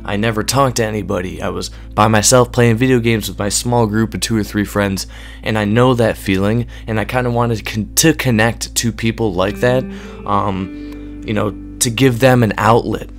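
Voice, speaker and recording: A man in his twenties with a hoarse voice, monotone and rambling, talking earnestly and unscripted to his viewers. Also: fairly low pitch, normal speed, soft background music. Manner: rambling, earnest, many ums. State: hoarse, voice going from illness. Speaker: gaming YouTuber. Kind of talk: unscripted casual talk to camera.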